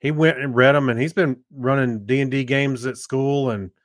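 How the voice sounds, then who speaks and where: A man's voice: medium pitch, fairly even intonation, moderate pace, dry recording, clean studio sound. A middle-aged man chatting casually as a podcast co-host. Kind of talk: casual chat.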